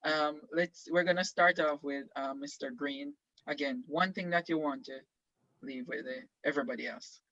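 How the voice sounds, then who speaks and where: A man's voice: on the high side, steady tone, moderate pace, pushed and bright, no room sound. A male teacher leading an online class discussion, encouraging students and asking questions with many fillers. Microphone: video call. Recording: dull lo-fi video call audio.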